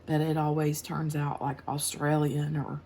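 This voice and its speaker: A woman in her forties with an American accent, unhurried and chatty as she answers questions while crafting at home. Her voice sits a little low and has a gravelly edge, and she laughs easily between thoughts. A faint hum of room noise lies under the recording. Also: monotone. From United States. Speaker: craft channel host. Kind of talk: casual chat while crafting.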